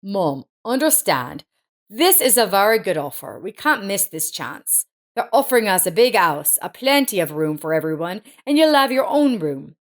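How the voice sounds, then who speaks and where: A woman's voice: mid-range voice, very animated, moderate pace. A woman voicing an earnest, persuasive adult son in a story, with a coaxing manner.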